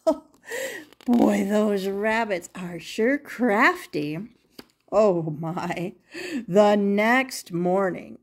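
An older woman, a grandmother, reading a children's picture book aloud expressively and putting questions to her young listeners. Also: medium pitch. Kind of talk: animated children's storybook read-aloud.

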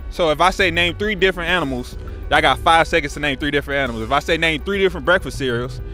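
A man's voice: on the high side, quick pace, sharp tone, soft background music. A man hosting a street trivia game, talking in a playful, slangy way and laughing often. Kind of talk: casual hype street hosting. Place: outdoors on a city street.

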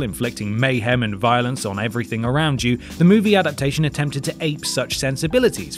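A man hosting a scripted countdown show, narrating with wry, sarcastic asides. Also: mid-range voice. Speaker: host of a video game list show. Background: music.

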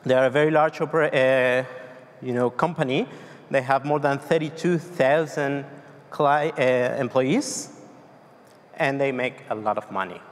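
A man's voice: medium pitch, a little slow. A man from Argentina in his thirties with a Spanish accent, giving a tech conference talk with many fillers and restarts. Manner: loose, hesitant delivery with many fillers and restarts. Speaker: conference speaker. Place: large dark conference hall on stage. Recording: long hall reverb.

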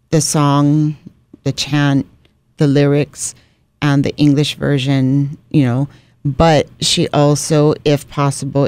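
Mellow-voiced woman telling her story. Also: low-pitched, clean tone, no room sound. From Hawaii. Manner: warm storytelling, often adds "you know". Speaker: radio executive and podcast guest. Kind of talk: casual interview chat. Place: small radio studio. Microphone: studio broadcast mic on boom arm.

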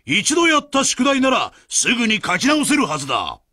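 A stern, very high-voiced teacher. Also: male voice, wildly swooping pitch, normal speed, heavy background noise, full character voice. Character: stern, teasing male schoolteacher. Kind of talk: radio drama.

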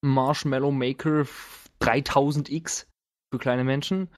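A man acting as game master, narrating an improvised tabletop game in a playful, halting, chatty way. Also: medium pitch, fairly even intonation. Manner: playful, joking, halting descriptions.

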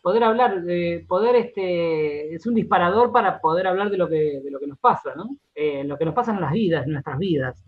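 Higher-voiced Argentine man, halting talker. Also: expressive, phone-quality sound. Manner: halting, circles back and repeats words as he explains. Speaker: interview guest, radio drama director and writer. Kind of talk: radio interview, conversational.

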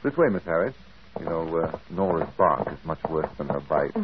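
An actor playing a genial, businesslike private detective in an old radio drama. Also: male voice, very deep voice, expressive, normal speed, noisy background. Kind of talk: 1940s radio drama acting. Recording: muffled old radio broadcast.

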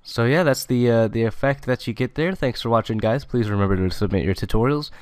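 A young man hosting a casual software tutorial in an informal, conversational way. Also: mid-range voice, a little fast, smooth. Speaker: tutorial host.

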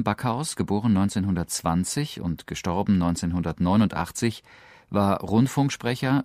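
A man's voice: low-pitched, a little mellow, no echo, studio quality. A man giving a formal, factual, scripted radio introduction as presenter.

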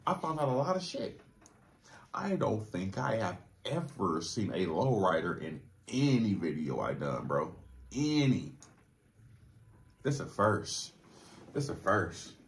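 Low-voiced Californian man, slangy and profane. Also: sing-song, slow pace, long pauses. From Long Beach, California. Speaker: reaction video host. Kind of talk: casual reaction commentary. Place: small room with foam-panelled walls.

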